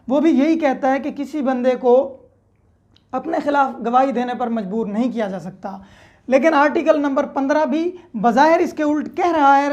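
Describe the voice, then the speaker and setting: High-voiced Pakistani woman lecturing on dull audio. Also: a little mellow. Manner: methodical, repeats key points, mixes in English legal terms. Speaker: law lecturer. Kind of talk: explanatory lecture with everyday examples.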